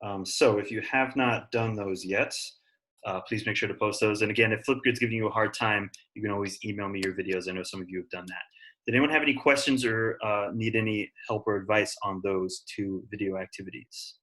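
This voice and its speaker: A man with a fairly low voice leads an online class as the teacher. His talk is casual and encouraging, addressed directly to his students. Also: fairly even intonation, fairly quick, bright, forceful tone, low-quality recording. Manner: encouraging, informal. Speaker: science teacher.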